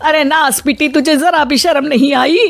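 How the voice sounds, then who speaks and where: A woman playing a domineering, middle-aged mother-in-law in an animated moral story, scolding and taunting melodramatically. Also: high voice, moderate pace, gravelly. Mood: outraged scolding.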